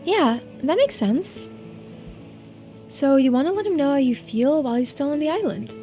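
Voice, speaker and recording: Woman voicing an earnest, high-voiced bookish youngster. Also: lively intonation, normal speed, warm tone. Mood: thoughtful, supportive. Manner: apologetic, eager to help. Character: earnest, self-deprecating, bookish young friend. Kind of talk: video game character voice-over. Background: music.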